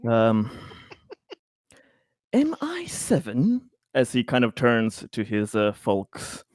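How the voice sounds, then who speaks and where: A man takes part in a tabletop roleplaying game. He talks slowly and in a measured way, with formal turns of phrase in character. The recording is dry and close. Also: mid-range voice, wildly swooping pitch, no echo.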